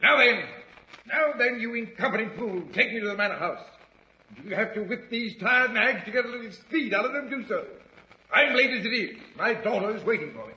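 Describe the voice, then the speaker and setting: A furious, bullying villain of a doctor in an old radio play. The voice is very high and piercingly forceful, barking imperious abuse. The recording is dull and echoey. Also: male voice, expressive, fairly quick, exaggerated voice. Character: furious, bullying doctor, a tyrannical villain berating his coachman. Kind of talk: 1940s radio acting.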